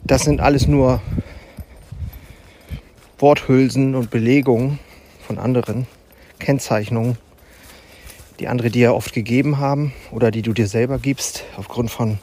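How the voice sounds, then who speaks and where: A man hosting his own podcast, talking reflectively while walking, unhurried and thoughtful with pauses and fillers. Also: mid-range voice, darkish tone. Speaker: podcast host. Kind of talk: reflective solo podcast monologue. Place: outdoors in a forest. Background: faint forest ambience and animal sounds.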